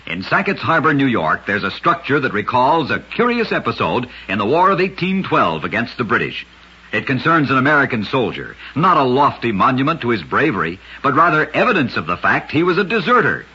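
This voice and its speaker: A raspy male radio narrator with a very animated, swooping delivery and a bright edge. He tells short scripted tales with dramatic emphasis, like a host on a vintage broadcast. The sound is dull and lo-fi. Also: mid-range voice, fairly quick.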